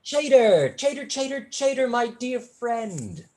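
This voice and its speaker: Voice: mid-range voice, very animated, slow, darkish tone. A young student actor playing a witty, brazen male tutor in a staged reading of a period comedy, speaking in an eloquent, arch, formal manner. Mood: unruffled, mocking. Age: young adult.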